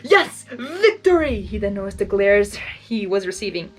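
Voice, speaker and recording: A woman giving a lively, expressive first-time reading of a fan story. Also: mid-range voice, normal speed, faint music behind. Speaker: storybook reader.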